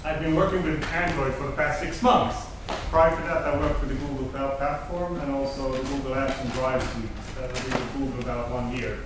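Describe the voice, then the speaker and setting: A man's voice: mid-range voice, steady tone, moderate pace, hardly any pauses. Swedish-accented man in an echoey hall. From Sweden. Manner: upbeat, enthusiastic, asks the audience questions. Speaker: conference speaker. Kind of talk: technical conference talk. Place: large conference hall. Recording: echoey hall, mic at a distance, noisy.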